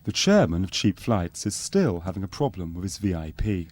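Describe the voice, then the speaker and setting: A low, mellow male voice narrates a spoof documentary with lively intonation. He plays it earnest and scripted, like docu-soap narration. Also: moderate pace, no echo.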